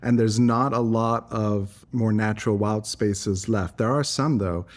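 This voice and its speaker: A man's voice: fairly low pitch, steady tone, a little slow. A man from Singapore giving earnest, articulate, reflective answers as an interview guest. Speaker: interview guest, environmental advocate.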